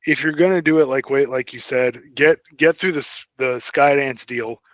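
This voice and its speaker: A man's voice: medium pitch, normal speed, no echo. A man co-hosting a podcast, speculating casually in conversation. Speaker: podcast co-host. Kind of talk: casual speculative film and TV discussion.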